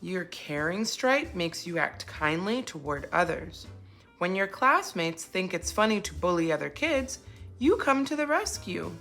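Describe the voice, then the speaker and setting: A woman's voice: lowish voice, big pitch swings, a little slow, creaky, fairly bright tone, soft background music, heavily acted. A woman voicing a loving mother zebra who speaks in a tender, encouraging storybook manner. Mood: reassuring.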